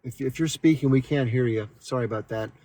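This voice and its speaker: A man's voice: medium pitch, quick pace, warm tone. A man hosting a call-in live stream, thanking callers and steering the talk in an easygoing way.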